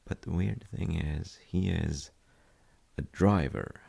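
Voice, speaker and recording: A man playing a gentle, thoughtful dad in an intimate audio roleplay, speaking hesitantly and softly with a gravelly, husky voice. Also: sits low, takes it slowly, exaggerated voice. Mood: wry, musing. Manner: hesitant, musing, soft-spoken. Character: gentle, thoughtful dad comforting his child. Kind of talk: intimate audio roleplay, slow bedtime chat.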